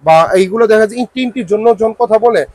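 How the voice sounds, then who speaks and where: A man's voice: high-pitched, quick pace, a crowd in the background. A man with a Bangladeshi accent presenting products and prices informally as a shop salesman, with repetitive patter and some English words.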